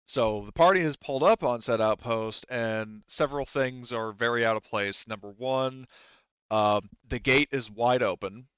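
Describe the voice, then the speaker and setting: Guy running a tabletop game, smooth low voice, explains stuff casually, slightly muffled dry audio. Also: moderate pace, a bit bright, no echo. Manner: conversational, explanatory. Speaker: game master.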